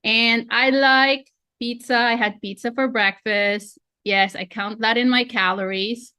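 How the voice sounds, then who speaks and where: Bright upbeat filipino lady in her 40s, chatty coach on a video call, dry sound. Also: on the high side, fairly even intonation, a little slow, smooth, no echo. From Philippines. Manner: chatty, self-deprecating, upbeat. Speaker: real estate coach. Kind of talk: online training webinar.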